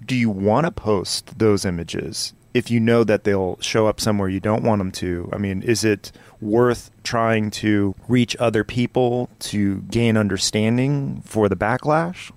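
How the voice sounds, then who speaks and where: A man's voice: fairly low pitch, unhurried, few pauses, dry recording. A middle-aged man hosting an interview, asking thoughtful questions and offering reassurance. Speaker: podcast host.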